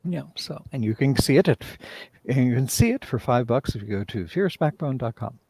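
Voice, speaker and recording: A man's voice: mid-range voice, expressive, brisk, darkish tone, dry recording. A man talking casually as a playwright in a live discussion, rambling and often interrupting himself. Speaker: playwright and director, interview guest.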